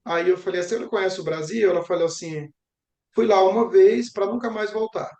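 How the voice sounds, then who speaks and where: A man's voice: high voice, brisk, darkish tone. A young Brazilian man speaking conversationally as an interviewed professor, talkative and reflective, often adding little tag questions. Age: young adult. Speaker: geography professor interviewed about travel. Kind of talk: conversational interview answers, storytelling. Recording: roomy, echoing sound.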